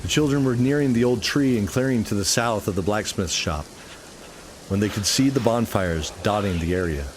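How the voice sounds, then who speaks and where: A man's voice: lowish voice, normal speed, background noise. A man narrating a scripted fantasy radio play in an earnest, dramatic storytelling style.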